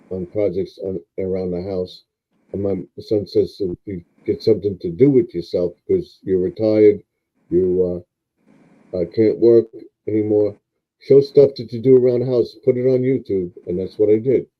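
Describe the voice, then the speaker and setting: A man's voice: fairly low pitch, lo-fi sound. A retired man in his seventies hosting a casual live stream, rambling with many fillers and restarts. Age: 70s.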